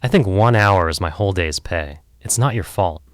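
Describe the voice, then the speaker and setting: A man's voice: sits low, expressive, normal speed, no echo, clean studio sound. A man playing a candid, self-doubting filmmaker in therapy in an audio play, hesitant, rambling and wry. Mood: frank, businesslike. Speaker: therapy client. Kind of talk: conversational audio play acting.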